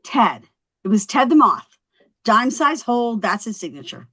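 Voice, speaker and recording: A woman at a script table read playing a quick-witted young housewife, chatty, coaxing and wry. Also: on the high side, very animated, bright, forceful tone, exaggerated voice. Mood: wry, teasing.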